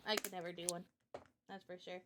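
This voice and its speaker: A woman's voice: fairly low pitch, little pitch movement, a little fast, a bit bright, slight background noise. A woman guesting on a livestream as an illustrator and game developer, talking casually into her mic.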